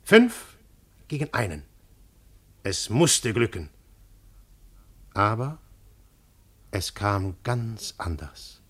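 An actor narrating a radio play as a hunted male detective, husky and grave. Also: fairly low pitch, very animated, drawn-out words, pauses often, exaggerated voice. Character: hunted male detective telling his story. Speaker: first-person narrator and detective Syme.

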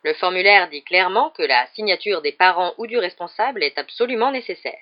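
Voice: mid-range voice, lively intonation, a little fast, close to an everyday voice. A strict woman professor from a storybook, as a narrator acts her out, firm and curt. She sounds authoritative and unyielding, in a slightly dull recording. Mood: stern.

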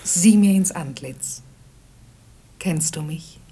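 An actress playing a sly, honey-tongued servant of a princess in a radio play, theatrical and coaxing. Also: female voice, on the low side, slow pace, mellow, breathy edge, exaggerated voice. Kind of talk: classic radio play acting.

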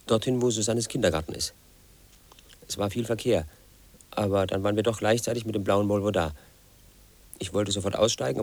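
An actor in his thirties playing an obsessive, aggrieved man who tells his story in a radio play monologue, in a flat, insistent, quietly resentful way. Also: on the low side, breathy edge. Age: 30s. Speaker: narrator of his own story.

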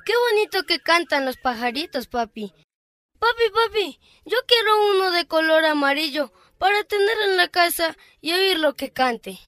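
A woman's voice: extremely high pitch, moderate pace, no echo. A woman playing an eager, pleading little boy in a radio drama. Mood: delighted, wheedling. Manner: excitable, pleading. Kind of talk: amateur radio drama acting.

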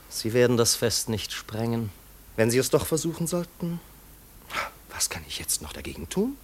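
An actor playing an excitable, self-assured marquis in a 1950s radio play, theatrical and musing in his delivery. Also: mid-range voice, slightly rough. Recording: 1950s radio drama recording.

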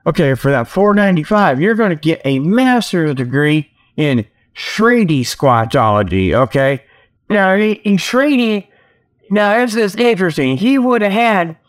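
A man with a somewhat high voice hosts his own comedy show alone. He rambles in a casual, folksy style, slipping into mock sales pitches and punctuating his points with "okay" as he jokes along. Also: smooth. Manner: repeats "okay" as a verbal tag. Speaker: solo host of a comedy internet radio show.

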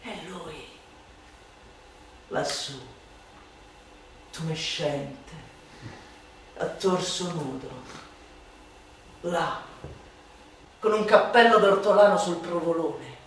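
A woman delivering a satirical radio monologue as a stage actress, declamatory and mocking, with drawn-out emphatic words and exaggerated swoops. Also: lowish voice, slight background noise. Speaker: stage actress reciting a literary text. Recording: very echoey, distant from the mic.

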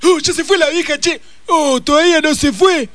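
A man's voice: extremely high pitch, moderate pace, a bit bright, no room sound. A man playing an indignant, meddling older mother in a comedy sketch, with a Rioplatense Argentine accent and a vulgar, complaining manner. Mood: desperate. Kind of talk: broad audio comedy sketch.

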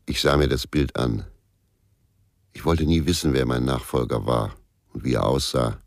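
A man's voice: rumbling low, moderate pace, dry recording, studio quality. An actor playing a bitter, brooding abandoned husband who tells his own story tersely in a German radio crime drama. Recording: noisy, with ambient sound.

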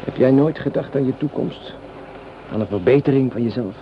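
Male actor as idealistic young soldier, thoughtful, measured, educated manner, radio drama, muffled sound, clearly noisy. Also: medium pitch, close to an everyday voice. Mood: earnest. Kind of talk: Dutch radio play acting. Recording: muffled, noisy old radio play recording.

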